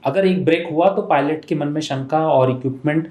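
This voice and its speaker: A man's voice: on the high side, moderate pace, warm tone. Methodical Indian man in an echoey room. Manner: methodical, breaks points into parts, switches between Hindi and English. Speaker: air force officer interview guest. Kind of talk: explanatory interview talk.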